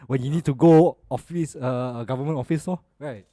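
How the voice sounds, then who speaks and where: A man's voice: mid-range voice, a little fast, dark tone, dry recording. Malaysian man, dry close podcast sound. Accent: Malaysian English. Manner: colloquial, sprinkles Malaysian particles. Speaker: podcast co-host. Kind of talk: casual chat.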